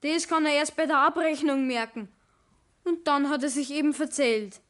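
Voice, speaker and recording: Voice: high voice, steady tone, moderate pace, fairly bright tone. A young performer playing a cheeky, sullen teenage apprentice boy with a Bavarian accent in a 1960s radio play, defiant and grumbling. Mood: grumbling, boastful.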